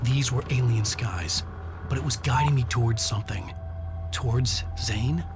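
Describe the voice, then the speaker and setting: A man's voice: medium pitch, normal speed, close to an everyday voice. An actor voicing a writer who narrates his story in a brooding, reflective tone. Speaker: protagonist and narrator of a video game. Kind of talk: introspective scripted narration. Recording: game cutscene over loud music.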